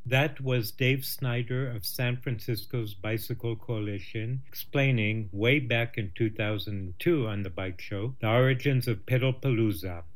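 A man hosting a radio show, introducing segments and guests in a measured, clear way. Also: mid-range voice.

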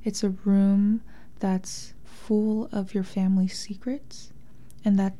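A woman author speaking softly and breathily in a thoughtful, measured, literary way. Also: mid-range voice, little pitch movement. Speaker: interviewed author and translator. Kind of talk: reflective literary interview talk and reading aloud from a memoir.

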